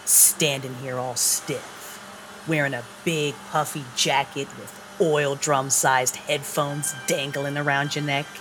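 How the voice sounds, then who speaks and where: An actress playing a domineering vampire clan leader in an audio drama, mocking and condescending. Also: female voice, low voice, background noise. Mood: condescending, amused.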